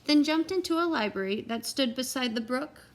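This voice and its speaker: A woman with a slightly high voice reads a children's picture book aloud. Her delivery is expressive and geared to young listeners, with simple explanations along the way. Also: normal speed. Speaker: read-aloud teacher and storyteller.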